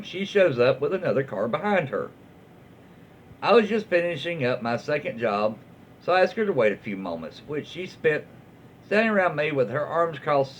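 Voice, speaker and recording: A man hosting and reading internet stories aloud in a folksy way, with dramatic pauses, emphasis and his own asides. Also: on the high side, muffled recording, a little hiss or hum. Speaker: online story reader and host.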